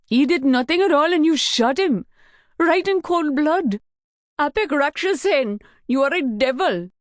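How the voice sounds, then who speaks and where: A woman's voice: on the low side, normal speed, mellow, dry recording, clean studio sound, close to an everyday voice. A woman voicing a defiant male police officer, indignant and pleading with his captors. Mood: anguished.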